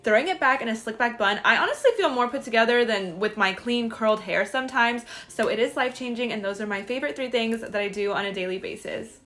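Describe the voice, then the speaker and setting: Quick, chatty young-sounding woman. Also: a little high, fairly bright tone. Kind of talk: casual beauty vlog tips.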